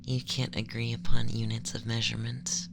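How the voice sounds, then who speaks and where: A man playing a haughty, clinical femboy alien in an ASMR roleplay, speaking softly and often in a breathy whisper with formal, condescending, measured delivery. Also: medium pitch, completely flat delivery, very noisy, close to an everyday voice. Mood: disdainful.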